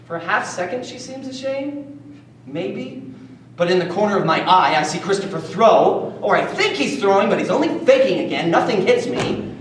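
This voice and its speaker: Man acting a bullied schoolboy, high-pitched, sensitive but defiant, emotive stage monologue, distant echoey room. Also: animated, normal speed, a little hiss or hum. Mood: tense, watchful. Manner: vivid, emotive storytelling in short present-tense bursts. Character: sensitive, defiant bullied schoolboy narrating his own story. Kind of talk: dramatic first-person stage monologue.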